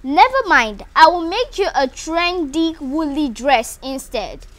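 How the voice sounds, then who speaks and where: A woman reading the part of a kindly, insistent storybook grandmother, gentle and advising. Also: sits high, animated, slow pace, dry recording, full character voice. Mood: reassuring. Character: kindly, insistent grandmother who cherishes family tradition.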